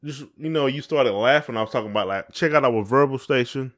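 A man's voice: mid-range voice, brisk, fairly bright tone. A man with a Southern US accent co-hosting a podcast in a casual, folksy, playful and rambling way. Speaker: podcast co-host. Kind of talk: casual chat.

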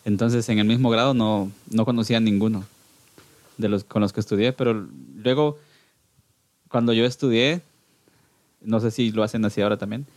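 A Guatemalan man in his thirties with a lowish voice, talking easily about his childhood and laughing between hesitant, restarted phrases. The sound is dry and close, with no room around it. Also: fairly even intonation, moderate pace, long pauses, darkish tone, no room sound. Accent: Guatemalan Spanish. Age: 30s. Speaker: podcast guest, band singer. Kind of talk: casual interview chat.

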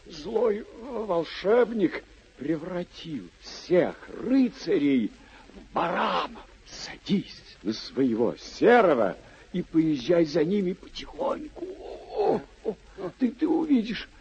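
A man playing a beaten, deluded old knight in a classic radio play, groaning and declaiming in halting, grandiose phrases. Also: sits high, creaky, warm tone, slightly rough, full character voice. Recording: dull old radio recording with music.

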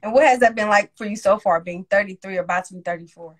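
A woman in her twenties hosting a casual chat, talking in a lively way and capping her points with a punchy one-word tag. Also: medium pitch, fast talker. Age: 20s. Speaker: host.

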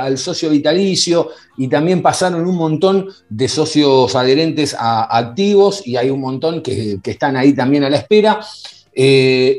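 A man's voice: on the high side, a little mellow. A man with a Rioplatense Spanish accent hosting a podcast interview, chatty and hesitant, full of fillers and restarts. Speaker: podcast host. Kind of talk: casual interview chat.

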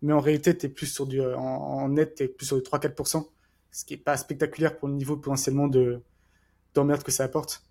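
Fast-talking husky man. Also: medium pitch, flat delivery, mellow. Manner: rapid, rambling, many fillers and restarts. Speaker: podcast co-host. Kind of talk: casual chat.

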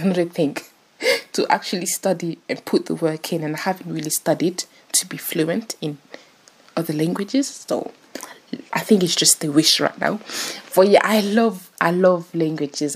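A woman's voice: low-pitched, slightly rough. A woman in her twenties from France, chatting casually and laughing at herself as she introduces her podcast. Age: 20s. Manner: chatty, giggly, self-deprecating. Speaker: podcast host.

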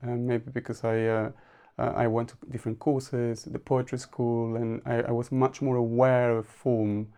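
A man from Argentina, a poet guest on a podcast, speaking softly and hesitantly with many restarts. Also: medium pitch, level tone, normal speed. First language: Spanish. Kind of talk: podcast interview.

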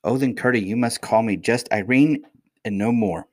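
A man's voice: medium pitch, normal speed, pitched above the performer's own voice, close to an everyday voice. A man voicing an affectionate little princess in a storybook, a young girl with an earnest, polite, childlike manner. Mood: curious.

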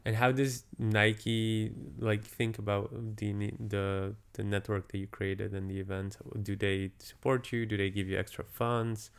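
An interviewer asking questions in a relaxed, conversational way, with short affirming interjections. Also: low-pitched, a little slow, few pauses, smooth.

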